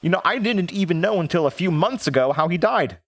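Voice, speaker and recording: A man acting as an earnest, reflective radio show host in an audio drama, speaking in a measured way with pauses. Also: high-pitched, expressive, no room sound, studio quality. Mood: grieving, resolved.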